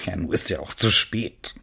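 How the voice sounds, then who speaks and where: An actor playing an evasive, casually defensive man in a satirical radio monologue, with a husky voice. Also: deep voice, measured pace, bright, forceful tone, full character voice.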